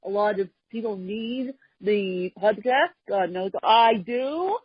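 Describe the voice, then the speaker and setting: A woman hosting a podcast in casual conversation, talking haltingly with frequent pauses and fillers. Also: medium pitch, animated, slightly rough, no room sound. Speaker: podcast host. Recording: dull, dry sound.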